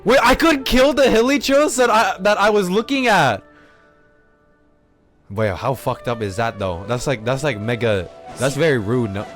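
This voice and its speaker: A man doing casual live gameplay commentary as a streamer, reactive and excitable, swearing casually. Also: mid-range voice, normal speed, faint music behind. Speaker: game streamer.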